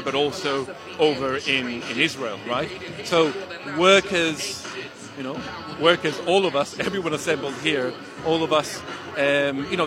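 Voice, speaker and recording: A man from Venezuela with a Venezuelan accent, a university teacher being interviewed at an outdoor rally, talking hesitantly with many fillers. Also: a little high. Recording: music behind, outdoor rally. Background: music playing.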